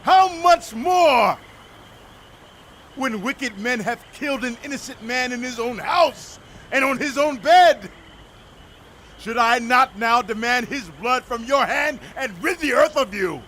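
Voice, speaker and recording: A male actor playing a grieving, righteous warrior king in a dramatized scripture, with slow, solemn declamation. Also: very high-pitched, animated, a bit bright, slight background noise, full character voice. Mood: rising fury.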